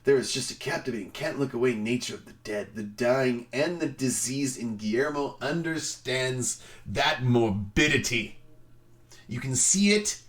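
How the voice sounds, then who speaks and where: A man streaming, speaking in an emphatic, theatrical way with dramatic pauses. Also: mid-range voice, animated. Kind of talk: dramatic reading of a film review.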